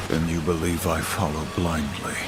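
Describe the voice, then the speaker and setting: A man's voice: very deep voice, little pitch movement, normal speed. A man playing a stern, dutiful horseman warrior in a video game, speaking in a solemn, formal, declamatory manner. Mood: grave. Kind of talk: game cutscene dialogue. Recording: music behind the voice.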